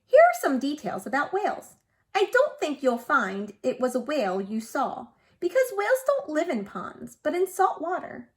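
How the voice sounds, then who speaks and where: Woman reading as a polite, animated male teacher. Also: high-pitched, moderate pace. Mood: correcting. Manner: polite, instructive. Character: polite, firm male teacher correcting a child by letter. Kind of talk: picture-book read-aloud.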